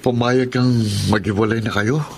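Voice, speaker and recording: A man's voice: medium pitch, fairly even intonation, moderate pace, natural voice. An actor as a sympathetic, inquisitive male buddy. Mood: curious, surprised. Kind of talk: Tagalog radio drama acting. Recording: faint background noise.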